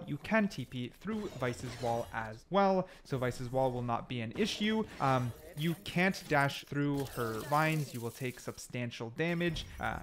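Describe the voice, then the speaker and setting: A man's voice: mid-range voice, a bit bright. A man giving casual, slangy gameplay commentary as a gaming video host, talking viewers through things step by step.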